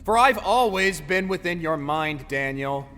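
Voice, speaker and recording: A sinister presence haunting someone's head , acted by a man, swooping widely in pitch through long reverb. It needles and mocks theatrically, in audio drama fashion, with a bright, sharp edge. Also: lively intonation, measured pace, soft background music. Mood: cruel taunting. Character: taunting, sinister voice inside a tormented man's mind. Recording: long reverb, faint background noise.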